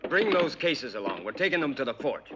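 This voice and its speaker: An actor playing an earnest, brisk young cavalry officer in a western film, with a clipped delivery. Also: male voice, on the high side, very animated, noisy background. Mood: commanding. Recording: dull old film soundtrack.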